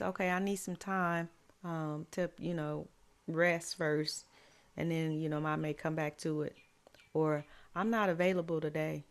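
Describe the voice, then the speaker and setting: A woman life coach with a slightly hoarse, raspy voice, giving slow, encouraging faith-based advice. She repeats her key lines and adds affirming "mm-hmm" asides. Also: low-pitched. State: voice a bit hoarse.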